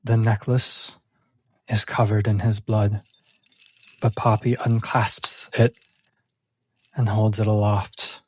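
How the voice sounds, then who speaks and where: A man working as game master, narrating a tabletop game in a solemn, deliberate, dramatic way with pauses. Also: on the low side, little pitch movement, darkish tone, breathy edge, no echo.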